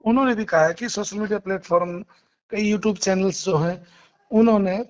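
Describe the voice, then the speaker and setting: A man speaking as a lawyer in a phone interview, explaining a case in halting, repeated phrases with polite acknowledgements. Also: sits high, darkish tone. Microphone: phone call. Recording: muffled, lo-fi phone call recording.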